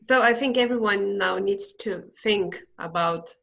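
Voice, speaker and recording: A woman moderating an online meetup over a video call, hosting questions in an unhurried, hesitant way. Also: mid-range voice, vocal fry, a bit bright.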